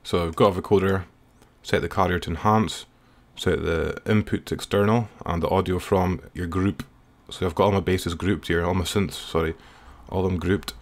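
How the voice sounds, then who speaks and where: A man's voice: low voice, fairly even intonation, normal speed, hardly any pauses. A man giving a casual, informal tutorial as a music producer, explaining in a hesitant way. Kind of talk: casual screen-recorded software tutorial. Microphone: voice-over recorded while working at computer.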